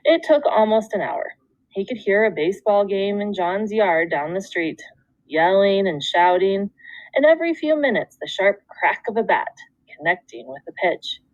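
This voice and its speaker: A woman teacher reading a children's book aloud, with expressive storytelling and deliberate phrasing. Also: mid-range voice, dry recording, dull audio. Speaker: second grade teacher.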